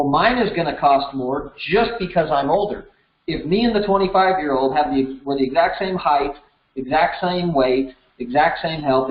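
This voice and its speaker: American man teaching in an echoey room. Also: a little high. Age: 30s. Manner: conversational, explaining step by step with everyday examples. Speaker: instructor. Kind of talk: informal explanatory lesson. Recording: roomy echo, slightly dull sound.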